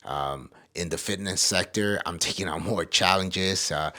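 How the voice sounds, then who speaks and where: A man's voice: low voice, unhurried, pushed and bright. A man from El Salvador hosting a podcast, speaking casually and motivationally in an earnest, emphatic way. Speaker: podcast host.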